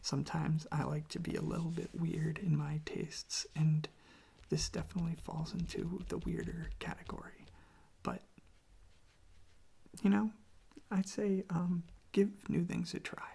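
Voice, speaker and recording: This is a soft-spoken ASMR host talking in a casual, unhurried ramble. The voice is extremely breathy and husky, often dropping toward a whisper, with heavy crackle in it. The delivery is gentle, hesitant and a little self-deprecating. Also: mid-range voice, flat delivery. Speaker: host of a relaxation channel showing records.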